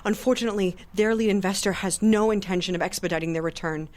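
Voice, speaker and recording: A woman playing a cool, shrewd former public defender in an audio drama, speaking in a deliberate, wry, persuasive way. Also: mid-range voice, natural voice.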